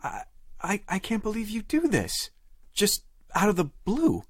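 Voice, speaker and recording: A man's voice: high-pitched, wildly swooping pitch, a little slow, husky, no echo, clean studio sound, full character voice. A man in his thirties playing a wounded, indignant boyfriend on a call in an audio drama, with a defensive, emotional delivery. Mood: shocked. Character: indignant, wounded 35-year-old boyfriend on the phone.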